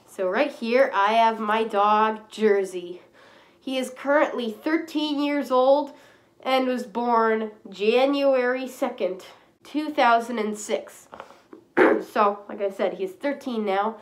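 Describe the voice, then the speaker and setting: A woman with a stuffy nose from a cold, chatting casually and rambling to camera from a small room. She often clears her throat. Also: a little high, slow pace, rough recording. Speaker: fursuit vlogger.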